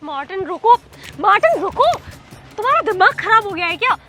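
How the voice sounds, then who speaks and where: A woman's voice: extremely high pitch, sing-song, a little fast, music in the background, full character voice. A woman acting a defiant, evasive schoolgirl sister in an amateur melodramatic skit. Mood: exasperated. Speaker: actor in a moral-story video.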